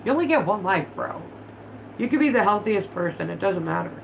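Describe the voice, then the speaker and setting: A woman's voice: medium pitch, expressive, fairly quick, creaky, a little hiss or hum, low-quality recording. A woman in her thirties hosting a casual livestream at home, stuffed up from allergies, chatting bluntly and swearing freely while she works with her hands. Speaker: vlog host. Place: living room at home, indoors.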